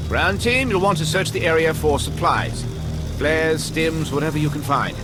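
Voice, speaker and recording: An actor playing a brisk, wry, commanding mission controller giving instructions in a video game. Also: male voice, on the high side, animated, close to an everyday voice. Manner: directive, dryly wry. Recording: very loud music behind. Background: game music.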